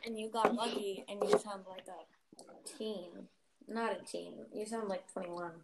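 A woman's voice: mid-range voice, fairly even intonation, unhurried, creaky, heavy background noise. A young woman hosting a casual chat podcast, talking chattily with lots of fillers and giggles. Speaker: podcast host.

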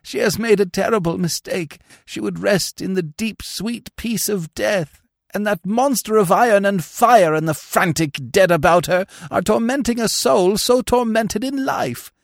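A man doing a hushed, anguished old priest. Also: medium pitch, animated, slow, creaky, slightly rough, no room sound, studio quality, pitched above the performer's own voice, full character voice. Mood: despairing. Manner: hushed, pleading inner speech. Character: devout elderly village priest, anguished and pious. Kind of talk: audiobook reading.

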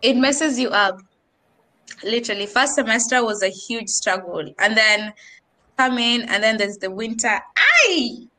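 A young Kenyan woman with a Kenyan accent talks casually on a podcast, sliding between English and Swahili slang. Her voice is bright and forward, sitting a little high. She sounds lively and chatty. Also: measured pace.

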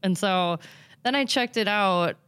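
A woman's voice: mid-range voice, measured pace, fairly bright tone, smooth, no room sound. A young woman with a Minnesotan accent, chatting as a guest about her car detailing business, rambling and hesitant with lots of fillers. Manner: rambling, hesitant, with many fillers and self-restarts. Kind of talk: casual interview chat.

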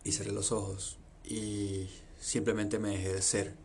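A man's voice: fairly low pitch, flat delivery, unhurried, darkish tone, a little hiss or hum. A young man from Venezuela talking earnestly and reflectively about his life, often repeating phrases and listing things in long runs. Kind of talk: reflective personal monologue.

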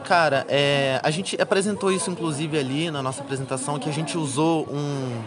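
A man's voice: on the high side, fairly quick. A young Brazilian man, a university student, answering interview questions in casual, slangy speech. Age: young adult. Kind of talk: casual chat. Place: university congress with crowd. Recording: busy event crowd behind the voice.

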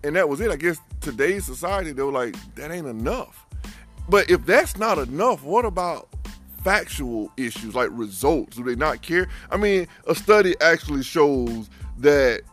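A man in his forties co-hosting a casual podcast, who gets loud and fired up as he talks. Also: on the high side, animated, moderate pace, faint music behind. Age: 40s. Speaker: podcast co-host.

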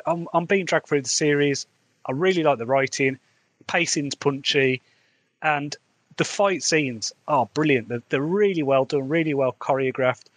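A man's voice: mid-range voice, fairly bright tone. A man with an English Midlands accent, an author hosting his own podcast, talking casually and candidly with a rambling, chatty manner. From England. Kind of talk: casual solo podcast chat.

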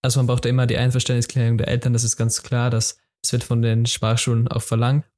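A man's voice: medium pitch, monotone, fast talker, a little mellow. A young man hosting a solo podcast, talking informally in a loose, repetitive way with plenty of fillers and restarts. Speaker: podcast host. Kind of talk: casual solo podcast talk.

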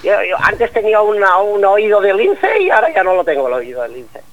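A man's voice: sits high, big pitch swings, fairly quick, fairly bright tone, highs cut off. A man voicing a rambling, foul-mouthed old caller from in a comic radio sketch. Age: older. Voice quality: rambling, stammering, blurts out swear words. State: stuffy head cold. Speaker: comedy caller character.

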